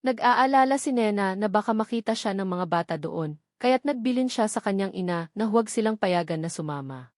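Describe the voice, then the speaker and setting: A woman with a Filipino accent reads a scripted romance story aloud in a measured, dramatic way. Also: medium pitch, lively intonation, no echo, studio quality. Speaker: story narrator.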